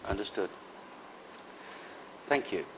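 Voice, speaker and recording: A man interviewing a guest, asking measured, prompting questions. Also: on the low side, lively intonation, fairly bright tone, a little hiss or hum, low-quality recording.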